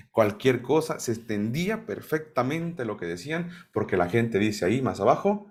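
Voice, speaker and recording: Low-pitched male Bible teacher, questions the room, keeps checking in. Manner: asks the audience questions and checks in with "¿sí?". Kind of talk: sermon-style Bible teaching.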